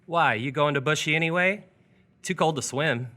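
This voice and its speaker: A man's voice: on the high side, moderate pace, bright, forceful tone. A man acting a blunt, offhand local teenager in a live radio theatre piece. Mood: offhand, warning. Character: local male teen, blunt, offhand, cautioning.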